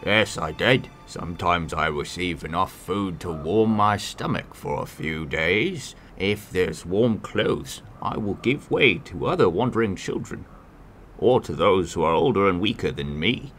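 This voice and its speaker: A man voicing a poor old beggar in an animated fairy tale, humble and earnest, trusting and stubborn. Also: low-pitched, unhurried. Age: older. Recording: music under the voice.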